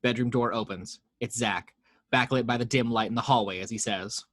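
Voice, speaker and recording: A man's voice: mid-range voice, fairly even intonation, a little fast, a bit bright, no echo, studio quality. A man reading the action lines of a screenplay aloud at a home table read, steadily, voicing the sound effects. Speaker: narrator reading action description.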